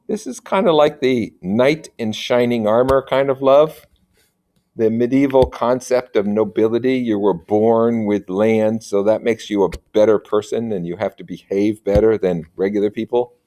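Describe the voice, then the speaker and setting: A man's voice: medium pitch, moderate pace, darkish tone. A man in a teacher's role, reading a story aloud and explaining it with informal, conversational asides. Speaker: teacher reading and commenting on literature.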